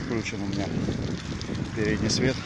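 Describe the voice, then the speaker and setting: A man's voice: fairly low pitch, steady tone, moderate pace. Rambling man filming on a bike ride. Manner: rambling, slangy, frequent fillers. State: riding a bicycle while talking. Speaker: vlogger. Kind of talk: casual first-person ride commentary. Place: outdoors on a village road. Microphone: phone mounted on handlebars. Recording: loud wind noise on the mic.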